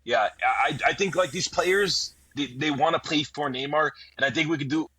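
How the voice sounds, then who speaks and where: A man's voice: on the high side, moderate pace, sharp tone, slightly rough. A man from Brazil hosting a football podcast, talking with many hesitations and fillers while steering the talk with questions to his guests. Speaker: podcast host. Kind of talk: casual football discussion. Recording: dull lo-fi mic.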